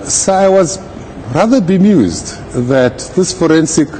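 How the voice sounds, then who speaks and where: A man speaking as a legal commentator in an interview, with a measured, deliberate manner and formal wording. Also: high voice, lively intonation, dark tone, a little hiss or hum, poor audio. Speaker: legal commentator, retired judge. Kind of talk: live interview commentary on a court case.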